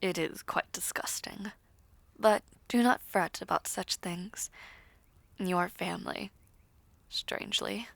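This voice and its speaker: A woman as a slow, low-voiced haughty princess. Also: creaky, a bit bright, no echo, whispered, full character voice. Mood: dry, reassuring. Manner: stiff, formal, measured. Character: soft-spoken, haughty royal princess, blunt yet kindly. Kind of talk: audio roleplay acting.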